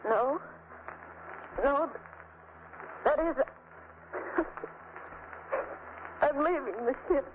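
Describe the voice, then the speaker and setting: A woman's voice: high-pitched, extremely slow, pauses often. An actress playing an anxious, tearful young woman in an old radio drama. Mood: fretful. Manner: tearful, fretful. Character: anxious young woman seeking her fiancé. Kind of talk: 1930s radio acting. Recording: tinny, hissy old radio broadcast.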